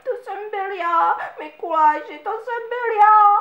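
A woman's voice: extremely high pitch, narrow, tinny audio. A woman voicing a naughty little boy who whines and pleads with the other characters. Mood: whiny. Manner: whiny, pleading. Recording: echoey room.

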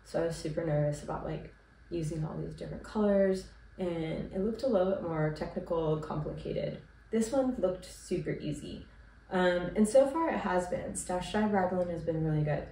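A woman's voice: on the low side, normal speed, slight background noise. A woman in her thirties who is sick and congested, chatting casually and rambling with many ums as she hosts her knitting podcast from a small room at home. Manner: relaxed and rambling, with frequent ums and likes. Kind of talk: casual chat to camera. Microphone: camera at a distance. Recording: roomy, distant camera sound.

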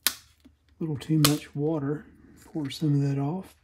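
A man giving a casual, low-key, step-by-step narration of hands-on repair work, matter-of-fact and a little hesitant. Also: flat delivery, unhurried, background noise. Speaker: radio restorer narrating a repair.

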